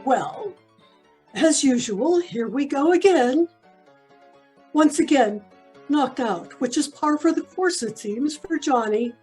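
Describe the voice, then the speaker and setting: Female narrator for radio-style drama: wry, unhurried, hesitant informal delivery. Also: high voice, animated, a little mellow, soft background music. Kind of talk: informal live narration between scenes.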